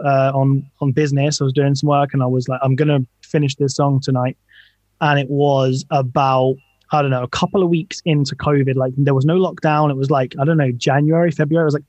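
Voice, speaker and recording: Earnest man with a bright voice, dry sound. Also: a little high, no room sound, highs cut off. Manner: loose, filler-heavy, earnest. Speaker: pop punk band member. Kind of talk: casual interview answers.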